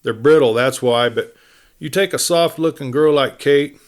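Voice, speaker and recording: A man's voice: medium pitch, moderate pace, lightly acted. A man performs a tough cowboy from a western storybook. He preaches with unshakable conviction in an American Western drawl. His manner is folksy and opinionated, like someone schooling a younger partner. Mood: pitying, preachy.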